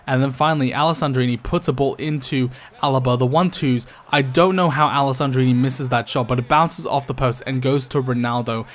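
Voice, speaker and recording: A young man talks viewers through his gameplay in a loose, rambling voice-over. His voice is worn and a little croaky from tiredness, and his speech is full of ums and asides to the guys watching. His pitch sits in the ordinary middle range for a man. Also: fairly even intonation, a little fast. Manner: chatty, addresses viewers as guys, many ums. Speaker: gaming YouTuber. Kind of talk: casual gameplay commentary.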